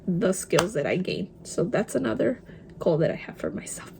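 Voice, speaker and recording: A woman's voice: fairly low pitch, sing-song, normal speed, vocal fry, background noise. Woman, young adult, Spanish accent, native Spanish speaker, casual chat, talks while applying makeup. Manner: chatty, mixes in Spanish words. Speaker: vlogger. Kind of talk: casual get-ready-with-me chat.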